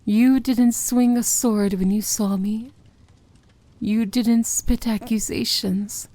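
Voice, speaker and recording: A woman playing a feared, possessive and tender villain princess in an intimate ASMR fantasy romance roleplay, speaking deliberately in a breathy voice that often sinks into a whisper. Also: fairly high pitch, steady tone, warm tone. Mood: possessive, commanding. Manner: slow, deliberate, commanding yet tender.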